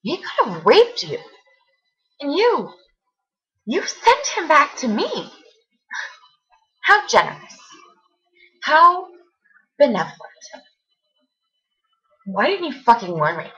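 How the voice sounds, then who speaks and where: Actress as wounded young woman confronting an old friend; lowish, sharp voice, halting and pointed, stage monologue. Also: lightly acted, low-quality recording. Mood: angry, accusing. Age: young adult. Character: hurt, accusing young woman confronting a former best friend.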